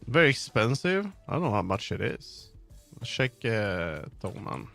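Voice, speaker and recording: Bright-voiced man chatting on a livestream. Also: on the low side, big pitch swings, a little slow, creaky. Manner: informal, frequent swearing. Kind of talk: casual livestream chat about tech gear. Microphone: streaming microphone on a sound card. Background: music.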